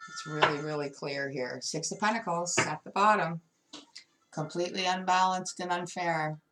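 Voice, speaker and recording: A woman's voice: fairly low pitch, fairly even intonation, normal speed, background noise. A woman giving a tarot card reading, talking straight to the viewer with emphatic, repetitive phrasing. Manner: emphatic, repeats words, addresses viewer directly. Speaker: tarot reader.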